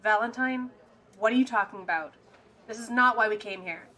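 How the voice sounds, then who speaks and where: Female sidekick, played by an actress: exasperated, sharp-tongued, forceful bright tone, raised pitch, audio drama. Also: brisk. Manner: blunt, exasperated.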